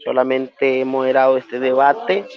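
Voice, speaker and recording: A man from Nicaragua with a Nicaraguan accent moderating an online debate, speaking formally with frequent hesitations. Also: mid-range voice. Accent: Nicaraguan Spanish. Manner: measured, formal, many hesitations. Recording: dull lo-fi online stream audio.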